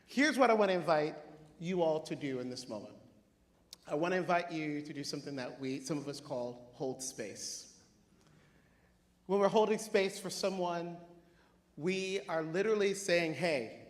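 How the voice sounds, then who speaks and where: Upbeat man moderating in a hall. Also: fairly high pitch. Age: 40s. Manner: upbeat, punchy one-word affirmations. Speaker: panel moderator. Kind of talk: live event moderating.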